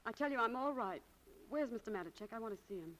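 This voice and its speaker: A woman's voice: a little high, animated, fast talker, fairly bright tone, a little hiss or hum, poor audio. A woman playing a proud, touchy, frayed young shopgirl in 1940s radio acting, strained and curt, on an old broadcast recording.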